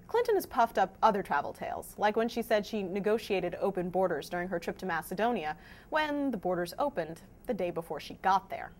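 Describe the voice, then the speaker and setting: A woman hosting a scripted news explainer, presenting to viewers in an emphatic, wry way. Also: mid-range voice. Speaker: host of a fact-checking news vidcast.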